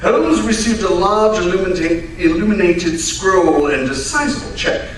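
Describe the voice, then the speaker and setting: A man playing a genial gentleman storyteller, a detective's companion, in measured old-time radio drama narration. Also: sits high, lively intonation. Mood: genial, storytelling. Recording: echoey hall from far off, faint noise.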